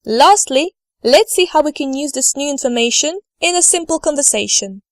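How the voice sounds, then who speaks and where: A woman teaching a language lesson over slides, speaking slowly and carefully and modelling words for learners to repeat. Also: high voice, expressive, no echo, studio quality. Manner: slow, careful delivery, models words for repetition. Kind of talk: slide lecture.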